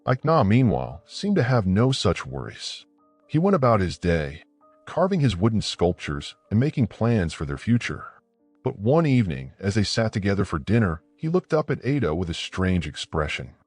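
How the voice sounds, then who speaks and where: Husky, expressive male storyteller. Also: lowish voice, moderate pace, no echo, soft background music. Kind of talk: scripted dramatic folktale narration.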